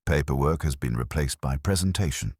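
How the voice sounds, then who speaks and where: A man's voice: extremely low pitch, expressive, brisk, darkish tone, slightly rough, no echo, full character voice. A man voicing a courteous, thoughtful police inspector with dry wit in a mystery story. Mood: dry, probing.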